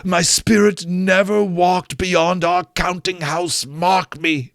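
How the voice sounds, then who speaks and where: A man voicing the mournful ghost of an old chained businessman, wailing his lines slowly in a gravelly voice in a storybook reading. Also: sits high, fairly even intonation, a bit bright, no room sound, clean studio sound, voice pushed up, heavily acted. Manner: slow drawn-out wailing delivery.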